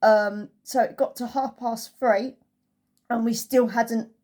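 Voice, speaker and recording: A woman's voice: fairly high pitch, fairly even intonation, slow pace, darkish tone. A woman giving a casual, chatty holiday review as a travel vlogger, telling it through anecdotes.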